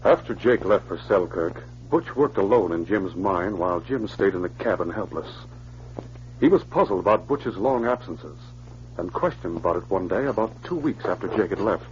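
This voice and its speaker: A man as a serious narrator of frontier adventure, reading scripted 1940s radio narration in a measured, solemn way. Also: low voice, expressive. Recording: muffled, hissy old radio recording.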